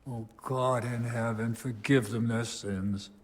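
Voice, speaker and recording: A man's voice: medium pitch, steady tone, slow pace, natural voice. A man playing a devout, troubled abbot in a medieval video game drama, speaking in a solemn, formal, pious way. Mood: grieved.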